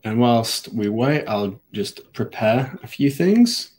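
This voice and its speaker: A man presents a live online webinar in a loose, conversational way. He is a little sniffly from hay fever and often restarts his sentences with ums. His voice sits at a middling pitch for a man. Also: very animated, takes it slowly, a little mellow, slightly rough. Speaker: webinar host.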